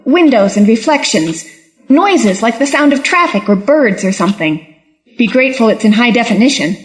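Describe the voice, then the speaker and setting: Actress as a high-voiced, sharp young woman investigator. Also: expressive, moderate pace, faint music behind, lightly acted. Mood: focused, curious. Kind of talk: audio drama acting.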